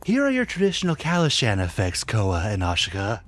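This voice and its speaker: An actor playing a flustered, apologetic fight promoter in a radio drama, with a polite, fussy manner. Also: male voice, fairly low pitch, huge pitch swings, a little fast.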